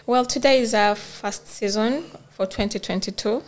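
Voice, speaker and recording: A woman's voice: a little high, moderate pace. Upbeat woman hosting a podcast. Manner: upbeat and encouraging, chatty. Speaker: podcast host. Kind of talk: casual interview chat.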